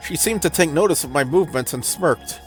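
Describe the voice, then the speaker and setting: A man's voice: a little high, animated, fairly quick. A man hosting a let's play, reading visual novel narration aloud in a dramatic storytelling style. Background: music.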